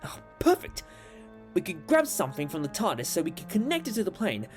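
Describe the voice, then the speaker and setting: Man playing a lively time-travelling alien adventurer. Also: sits high, fairly quick. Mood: brisk, upbeat. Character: brisk, upbeat, mysterious male time-travelling alien adventurer. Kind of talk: fan audio drama acting. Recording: loud music behind.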